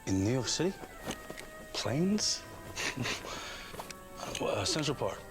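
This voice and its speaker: Game cutscene: befuddled, kindly New York everyman, American accent, medium pitch, halting, heavy music bed. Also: very animated, low-quality recording. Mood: bewildered. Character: bewildered, good-natured everyman New Yorker. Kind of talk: film dialogue in a game cutscene. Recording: loud music behind film dialogue.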